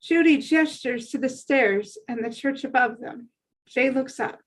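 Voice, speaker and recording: Woman narrator, slow pace, highish pitch, measured, reading screenplay directions. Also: poor audio. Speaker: stage directions reader.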